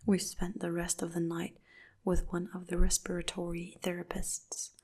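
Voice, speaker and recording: A woman narrating a dark first-person story in a soft, hushed whisper throughout. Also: fairly low pitch, vocal fry, husky.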